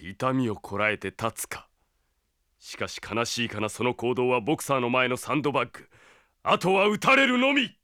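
An actor playing a cold, arrogant young villain in a Japanese anime audio drama, theatrical and condescending. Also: male voice, medium pitch, expressive, moderate pace, bright, forceful tone, no echo. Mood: gloating, sneering. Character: cold, arrogant young male villain serving an evil master. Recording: dramatic music behind the voice.

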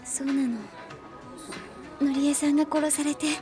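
A woman playing an anxious, earnest young girl in a soft, girlish manner, in a Japanese radio drama. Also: high-pitched, level tone, normal speed, music in the background, close to an everyday voice. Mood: earnest, troubled.

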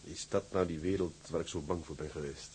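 An actor playing a weary, gruff sea captain in a Dutch radio drama, curt and hesitant. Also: male voice, low-pitched, steady tone, a little fast, warm tone, slight background noise, lightly acted. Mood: distant, curt. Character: weary, gruff sea captain, brooding but fond of his crew.

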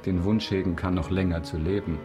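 Male actor as avenging nobleman, low voice, stern, bitter, formal delivery, radio play. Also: steady tone, music in the background, close to an everyday voice. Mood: grimly resigned. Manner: formal, grave, measured. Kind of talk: German radio drama acting.

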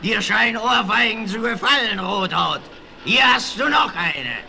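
A brash, drunken bandit chief in an old radio western. His voice is high and piercingly sharp with a gravelly edge, and he taunts in a slow, swaggering, menacing way. The vinyl transfer carries faint noise. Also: lively intonation, exaggerated voice. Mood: mocking. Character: lean red-haired bandit gang leader, brash, drunken, menacing bully. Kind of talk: 1960s German radio play acting.

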